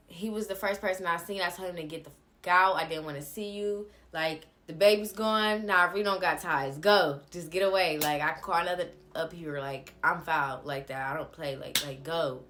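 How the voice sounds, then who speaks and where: A woman's voice: low voice, a bit bright, slight background noise. A young woman telling a personal story to viewers in a casual, candid, blunt way, with slangy phrasing.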